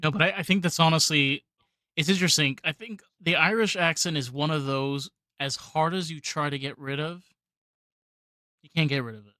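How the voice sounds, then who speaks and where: A man's voice: fairly high pitch, moderate pace, sharp tone, no echo, clean studio sound. A man with a New York accent hosting a podcast interview, blunt and opinionated. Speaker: podcast host. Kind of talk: casual interview chat.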